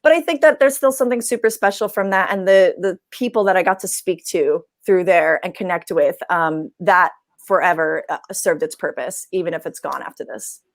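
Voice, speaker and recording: A woman's voice: on the low side, normal speed. A young woman speaking as a panelist in a casual online talk, enthusiastic and chatty with many filler words. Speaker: astrophysicist and science communicator panelist.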